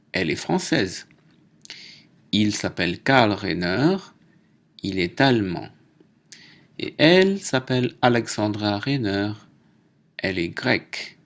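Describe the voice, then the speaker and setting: A man teaching a French lesson, reading out names, nationalities and exercises slowly and deliberately. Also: lowish voice. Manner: slow, deliberate dictation. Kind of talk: slow lesson narration.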